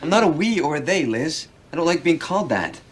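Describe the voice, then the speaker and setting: A man's voice: on the high side, big pitch swings, a little fast. An actor playing an opinionated, prickly man who questions and challenges people with sarcasm, in a naturalistic drama. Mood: wounded, accusing.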